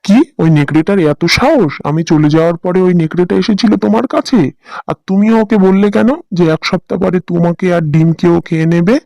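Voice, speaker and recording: An anxious little husband sparrow from a cartoon acted by a man. He frets and worries over his mate. His voice is a bit high for a male, recorded dry with no room around it. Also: fairly quick, gravelly, a little mellow, no room sound. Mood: panicked. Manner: worried, fretting. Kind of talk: cartoon voice acting.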